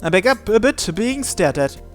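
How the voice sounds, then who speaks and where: Narrator brief: man, slightly high voice, dramatic first-person story reading for a visual novel, soft music underneath. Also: very animated, moderate pace. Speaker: channel host reading visual novel text aloud.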